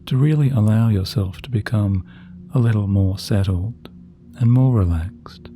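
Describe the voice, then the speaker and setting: A man narrating a sleep meditation in a soft, gentle, soothing voice with slow, measured phrasing. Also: lowish voice. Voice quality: soft, very dark and mellow. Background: relaxing background music.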